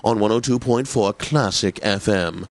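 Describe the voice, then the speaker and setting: Pompous, slow-talking classical radio DJ. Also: male voice, on the low side. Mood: smooth, pompous. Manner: pompous, theatrical, unctuous, precisely enunciated. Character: pompous, effete male classical radio DJ, theatrically smug. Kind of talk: scripted classical radio announcing, video game.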